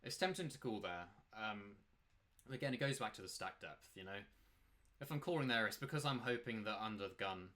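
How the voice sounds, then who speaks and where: A man's voice: on the low side, expressive, fairly quick, sharp tone. A man giving casual, rambling live commentary as he plays poker, emphatically repeating points and rhetorical questions. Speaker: poker player and coach commentating his own play.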